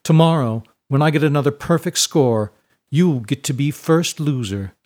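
A man's voice: medium pitch, expressive, normal speed, a little mellow, natural voice. A man voicing a smug young aristocratic schoolboy who bullies with taunting contempt, in a storybook narration.

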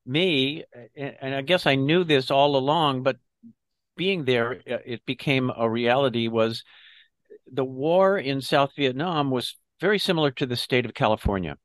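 An older man, an army veteran and interview guest, telling his story in a measured, reflective way. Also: medium pitch, no room sound. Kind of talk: interview recollection.

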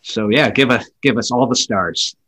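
A man's voice: medium pitch, normal speed, sharp tone, slightly rough. A man on a podcast panel, speaking in a casual, conversational way. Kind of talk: casual chat.

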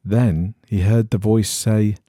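A man reading an eerie horror story in a measured, suspenseful storytelling manner. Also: lowish voice, fairly even intonation, mellow, no room sound, studio quality. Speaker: story reader.

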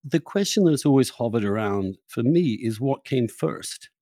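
A man's voice: mid-range voice, animated, normal speed, mellow, dry recording, clean studio sound. A man hosting a reflective long-form interview, searching for his phrasing and often repeating words as he thinks aloud. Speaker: interviewer, podcast host.

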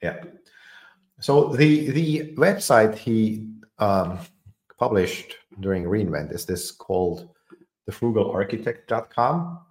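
Low-voiced man in an echoey room. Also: animated, takes it slowly, a little mellow. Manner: hesitant, many fillers and repeated words. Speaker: podcast host. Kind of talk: casual technical discussion.

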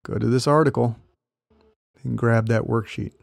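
Gravelly-voiced man coaching on careers. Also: mid-range voice, steady tone, moderate pace, no room sound, clean studio sound. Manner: conversational, explanatory, light laughs. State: losing his voice. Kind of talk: solo podcast talk.

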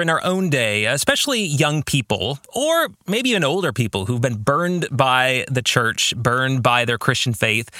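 A middle-aged American man hosting a podcast, speaking in a reflective, explanatory monologue with measured phrasing and occasional self-corrections. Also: fairly high pitch, bright, forceful tone, dry recording, clean studio sound. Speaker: podcast host and author.

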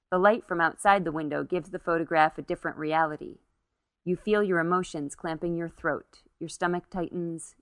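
A woman's voice: deep voice, vocal fry, fairly bright tone, dry recording. A woman narrating a literary short story as its reader.

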